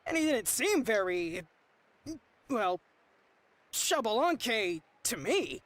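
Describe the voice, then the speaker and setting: Young game girl, confused n earnest, really animated, kinda slow, dry sound. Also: a little high, dry recording. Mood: doubtful. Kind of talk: video game voice acting.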